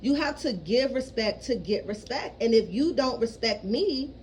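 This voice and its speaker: A woman's voice: on the high side, expressive, moderate pace, a little mellow, a little hiss or hum. A woman in her thirties talking frankly in a casual personal vlog, swearing freely and often checking in with her listeners. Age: 30s. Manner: rambling, keeps asking "you know what I mean". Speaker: vlogger.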